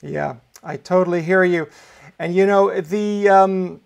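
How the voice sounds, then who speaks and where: Upbeat Greek-born man hosting, gravelly. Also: sits high, measured pace. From Greece. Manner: upbeat, enthusiastic presenter. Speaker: host. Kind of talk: live online show hosting. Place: home video studio.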